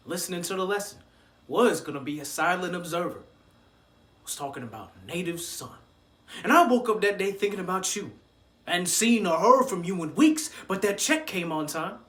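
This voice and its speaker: A man's voice: high-pitched, echoey room. A man performing a dramatic stage monologue as an angry, hurt student addressing his absent father, with emotional delivery. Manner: emotional, building from quiet hurt to shouted rage.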